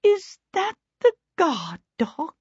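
A woman's voice: very high voice, very animated, unhurried, darkish tone, dry recording, lo-fi sound, voice pushed up, full character voice. A woman voicing a fussing, easily frightened mother in a storybook, with a gasping, quavering, wailing delivery. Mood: anxious.

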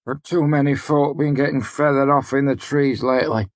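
A man's voice: medium pitch, steady tone, normal speed, no echo, pitched above the performer's own voice, natural voice. A man voicing a weary, bruised male mercenary who grumbles sourly in a storybook reading. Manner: sour, grumbling.

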